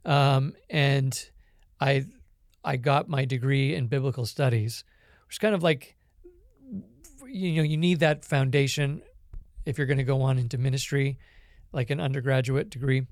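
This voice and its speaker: A Canadian man, a podcast guest, telling his life story in a relaxed, reflective way with many small pauses. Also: mid-range voice, dry recording, clean studio sound. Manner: reflective storytelling with frequent ums. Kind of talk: conversational interview.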